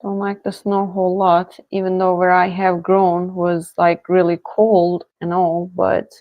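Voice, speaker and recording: A woman's voice: on the low side, no echo, dull audio. A woman from Turkey, chatting casually with viewers as she hosts a live tarot reading stream. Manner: slow, relaxed, chatty with viewers, soft laughs. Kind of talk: casual livestream chat with viewers.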